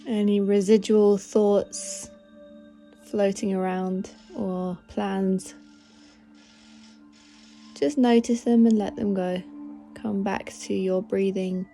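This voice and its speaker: A woman leading a guided meditation in a gentle, soothing, unhurried manner of instruction. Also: mid-range voice, creaky. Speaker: meditation guide, podcast host.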